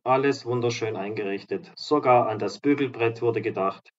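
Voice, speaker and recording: A man with a slightly hoarse voice talks his viewers through new apartments, upbeat and selling as he walks from room to room. His pitch sits mid-range, and the phone recording sounds a little dull and lo-fi. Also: normal speed. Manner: upbeat, promotional, walks through rooms while talking. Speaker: real estate agent and vlogger. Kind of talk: property tour narration. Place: apartment complex in a seaside town, indoors and outdoors.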